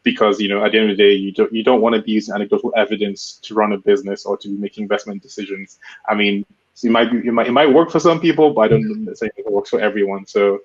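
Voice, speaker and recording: A man on a panel talking quickly, with many restarts. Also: on the low side, steady tone, husky. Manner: fast, halting restarts. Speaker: founder, panelist. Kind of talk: panel discussion. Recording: dull lo-fi audio.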